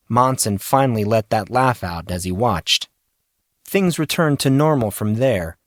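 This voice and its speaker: A man's voice: mid-range voice, no room sound, studio quality. A man reading scripted fiction narration as the narrator of an audio story.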